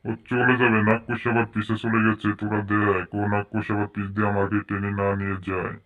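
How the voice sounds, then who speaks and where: A man's voice: on the low side, monotone, a little fast, narrow, tinny audio, lightly acted. A man voicing a frightened cartoon man who pleads repetitively to get away from a demon. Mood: anxious pleading. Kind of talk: improvised cartoon voice-over.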